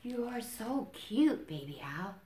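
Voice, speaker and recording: Mother owl for a storybook, woman performer; tender, cooing, reassuring, unhurried. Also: a little high, big pitch swings, warm tone, roomy sound, voice pushed up. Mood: tender, hushed.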